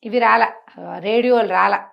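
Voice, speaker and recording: పై స్థాయి గొంతుతో కథ చెప్పే మహిళ. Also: female voice, fairly high pitch, steady tone, moderate pace, gravelly, dull audio. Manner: colloquial, asks rhetorical questions as she goes. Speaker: storyteller. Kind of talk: conversational oral storytelling.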